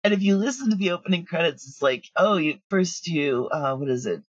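A woman's voice: lowish voice, expressive, brisk, husky, no echo, highs cut off. A woman chatting casually as an interview guest, telling stories with plenty of asides. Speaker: guest, audio drama creator.

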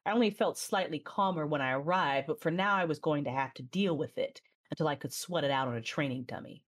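A low-pitched female voice carries this sci-fi audio drama. She is a resolute, battle-hardened warrior recounting her own story. The delivery is measured and dramatic, like a memoir told aloud. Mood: grave, determined. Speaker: narrator and lead character. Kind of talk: first-person dramatic narration in an audio drama.

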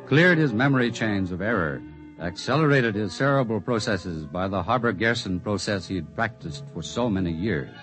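A man's voice: on the low side, a bit bright, rough recording. A man delivering dramatic scripted narration for a 1950s radio science fiction drama.

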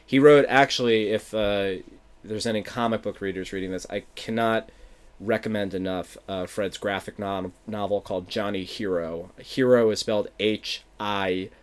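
Man, Philadelphia accent, very low voice, rambling casual talk, soft dropped consonants. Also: moderate pace, clean tone. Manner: rambling, animated, drops consonants. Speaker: author and playwright. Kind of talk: casual interview chat.

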